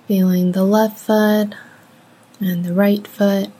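A woman guides a meditation at a very unhurried pace. Her voice is soft, mellow and a little breathy. She speaks gently, in short measured phrases separated by long pauses. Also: medium pitch, little pitch movement, low-quality recording.

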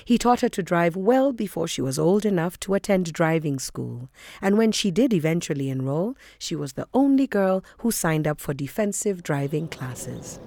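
Lowish woman narrating, dry studio sound. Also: expressive, moderate pace, mellow, no room sound. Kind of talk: scripted literary narration.

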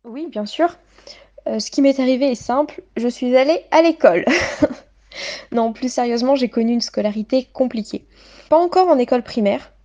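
A woman's voice: high-pitched, lively intonation, normal speed, mellow, lo-fi sound. A woman in her late twenties giving articulate, literary, self-deprecating personal testimony as a guest in a radio interview.

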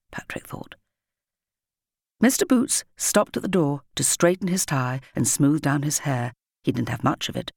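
A woman's voice: low-pitched, vocal fry, darkish tone, dry recording, clean studio sound. A woman with a British accent reading a scripted story expressively as an audiobook narrator.

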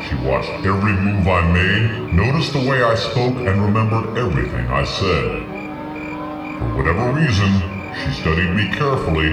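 A man narrating a scripted radio play in the first person. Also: low voice. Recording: roomy, distant voice under music. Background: music.

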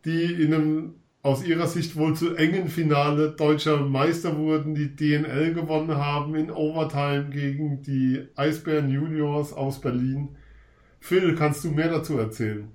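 A man's voice: fairly high pitch, fairly even intonation, moderate pace, darkish tone, echoey room. A man with a cold, hosting a casual sports podcast in an upbeat, chatty way. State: has a cold. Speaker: podcast host.

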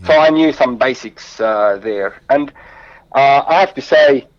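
A man's voice: medium pitch, measured pace, no room sound, dull audio. Earnest man with an Arabic accent. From Lebanon. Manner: earnest, warm storytelling with frequent restarts. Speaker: guest. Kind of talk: interview answers.

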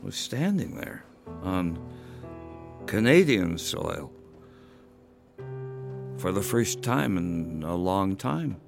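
An octogenarian war veteran with a low, gravelly voice. He speaks haltingly and with deep feeling, his pitch moving freely, over music. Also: very animated, exaggerated voice. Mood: wistful, moved. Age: 80s. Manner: halting, emotional, trailing off. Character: 87-year-old war veteran, tearfully remembering his homecoming. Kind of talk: audio drama monologue. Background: guitar music.

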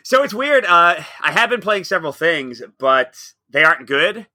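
A man's voice: a little high, big pitch swings, normal speed, bright, forceful tone, dry recording, clean studio sound. A man co-hosting a casual podcast, upbeat and teasing, laughing often. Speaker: podcast co-host.

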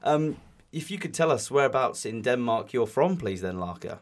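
A man's voice: medium pitch, lively intonation, moderate pace, no echo. A man hosting a podcast interview, chatty and a little self-deprecating. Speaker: podcast host. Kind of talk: casual interview chat.

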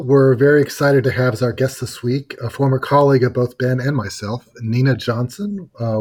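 A man hosting a podcast, interviewing a guest in a measured, friendly way. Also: medium pitch, a little mellow, husky. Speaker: podcast host. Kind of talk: casual interview conversation.

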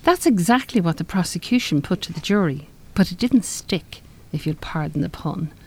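Low-voiced, guarded refuge resident. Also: female voice, animated, moderate pace, a little mellow, husky. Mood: evasive, wry. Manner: evasive, wry. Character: guarded woman in a refuge, survivor of violence. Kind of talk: live radio play acting.

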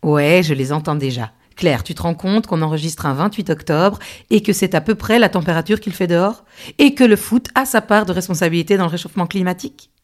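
Friendly woman hosting a podcast. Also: fairly low pitch. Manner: friendly, laughs often, quick follow-up questions. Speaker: podcast host. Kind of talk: casual interview.

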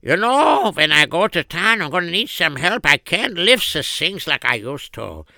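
A man's voice: fairly high pitch, big pitch swings, normal speed, gravelly, bright, forceful tone, dry recording, pitched above the performer's own voice, heavily acted. A man doing a fussy, fretting old father. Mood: fretful. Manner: sighing old-man exclamations. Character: aging father, fussy and worried.

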